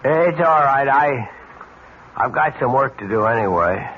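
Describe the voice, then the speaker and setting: An actor playing a strained husband and father in a radio drama, halting and tense in his delivery. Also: medium pitch, animated, natural voice. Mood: troubled, resigned. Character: strained, troubled husband and father, trying to reassure. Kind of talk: 1970s radio drama acting. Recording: muffled, noisy old radio recording.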